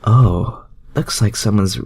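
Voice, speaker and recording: A man's voice: fairly low pitch, slow, darkish tone, husky, voice pushed up, exaggerated voice. A man voicing a flirtatious, confident mutant heroine, coy and teasing, for a fan fiction story.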